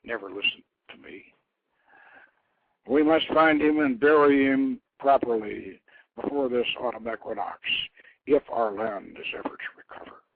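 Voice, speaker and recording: A man voicing a brave, resentful princess with intense, hushed resolve in a storybook reading. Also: mid-range voice, a little slow, close to an everyday voice. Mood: resolute. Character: brave, resentful princess sworn to avenge her brother. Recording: tinny phone-like internet radio audio.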